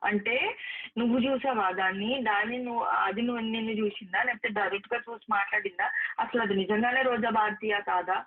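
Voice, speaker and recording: A woman's voice: mid-range voice, steady tone, fast talker. A woman arguing in a private phone call, emphatic and repetitive, reproaching the person she is talking to. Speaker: actress. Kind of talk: heated private phone conversation. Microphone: phone line. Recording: narrow, tinny recorded phone call.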